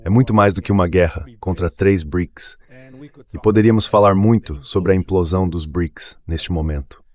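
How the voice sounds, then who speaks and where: A man's voice: deep voice, moderate pace, darkish tone. A man with a Brazilian accent giving dubbed political commentary as an interview guest.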